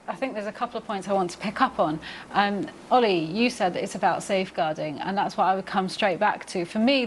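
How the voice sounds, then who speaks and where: Female debate guest, medium pitch, considered and assertive, slight background noise. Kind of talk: studio discussion.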